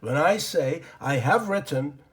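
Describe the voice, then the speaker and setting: A man's voice: on the high side, animated, measured pace. A man whose first language is Persian, teaching an informal English grammar lesson from his desk, rambling with many fillers and muttering to himself as he draws. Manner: rambling, lots of fillers and self-talk while drawing.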